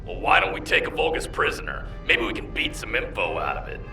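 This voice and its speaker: An actor playing a cocky, reckless soldier in a video game with a gravelly voice. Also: male voice, fairly low pitch, wildly swooping pitch, brisk, sharp tone, heavily acted. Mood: boisterous. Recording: echoey, distant comms under faint music.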